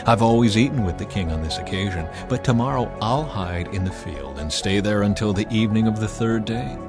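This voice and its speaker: A man's voice: fairly low pitch, lively intonation, brisk, music in the background. A man playing a desperate young warrior. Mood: urgent. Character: young adult male warrior on the run, desperate.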